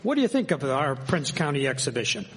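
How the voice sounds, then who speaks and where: A man's voice: medium pitch, big pitch swings, quick pace, slight background noise, natural voice, low-quality recording. A man playing an earnest, civic-minded nineteenth-century newspaper editor in a historical radio drama, speaking in formal, old-fashioned phrases. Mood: proudly indignant.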